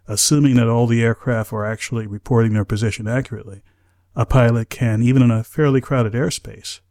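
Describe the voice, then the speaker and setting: A man with an American accent and a fairly low-pitched voice. He speaks as an expert analyst, laying out points in a measured, careful way. His delivery is explanatory throughout. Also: darkish tone. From United States. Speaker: aviation safety analyst and podcast host. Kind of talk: measured explanatory interview talk.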